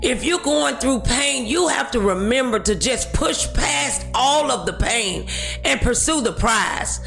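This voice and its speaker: A woman's voice: a little high, expressive, measured pace, vocal fry, fairly bright tone, soft background music. A woman hosting a talk show, preaching encouragement to her audience in an emphatic, exhorting manner with scripture.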